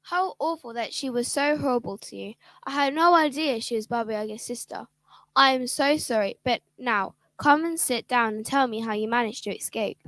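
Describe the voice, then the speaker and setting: A protective father, played by a woman speaks in a high, bright, sharp voice. He sounds concerned and indignant. The sound is dry and close. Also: moderate pace, no room sound, natural voice. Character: caring father, protective and quick to anger. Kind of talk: children's radio drama.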